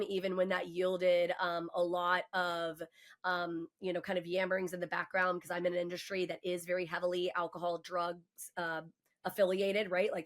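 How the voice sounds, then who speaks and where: Candid, emphatic woman in her forties. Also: lowish voice. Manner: candid, personal storytelling; emphatic and passionate. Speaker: podcast guest; mortgage professional. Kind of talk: casual podcast conversation.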